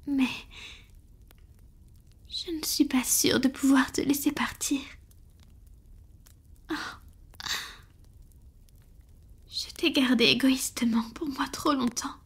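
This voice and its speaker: A woman plays a doting female vampire in an intimate roleplay audio. Her voice is fairly high and very breathy, mostly whispered. She speaks slowly and hesitantly, full of affection, as if to a lover close by. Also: exaggerated voice. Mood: sorrowful, resigned. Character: tender, lovestruck female vampire, devoted and doting.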